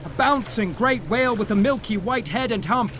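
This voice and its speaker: High-pitched hearty male whaling captain. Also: normal speed, a little hiss or hum. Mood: vivid recounting. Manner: colourful, animated storytelling. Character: hearty one-armed whaling captain, male, jovially sensible. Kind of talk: audio drama acting.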